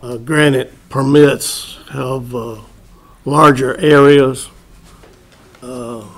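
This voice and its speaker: A man chairing a formal board meeting, speaking in a curt, halting way. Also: mid-range voice, steady tone, a bit bright. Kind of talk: formal public meeting procedure. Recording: public meeting room recording.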